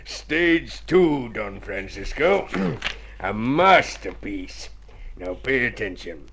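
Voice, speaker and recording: A scheming, smooth-talking consul from vintage radio drama. He is theatrical and self-satisfied, coaxing his partner along like a man selling a crooked deal. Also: lowish voice, sing-song, extremely slow, fairly bright tone, a little hiss or hum, heavily acted. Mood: gleeful. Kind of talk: golden age radio drama acting.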